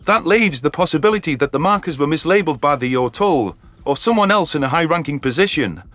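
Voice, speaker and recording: A man's voice: a little high, expressive, quick pace, fairly bright tone. A man voicing a skeptical, sardonic male alien officer who argues scornfully in a science fiction audiobook. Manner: sardonic, argumentative.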